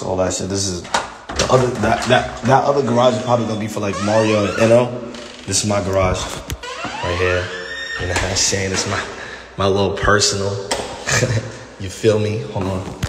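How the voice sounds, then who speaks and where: A fairly low-voiced young man streams live from his phone as he wanders the empty rooms of a big house. He talks loose and excitable, hyping everything up with slang and swearing. The sound carries a faint hiss. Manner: excitable, slangy, swears a lot, hypes things up. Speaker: livestreamer giving a house tour. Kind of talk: casual livestream chat. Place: large empty house indoors, walking between rooms. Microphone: phone held at arm's length.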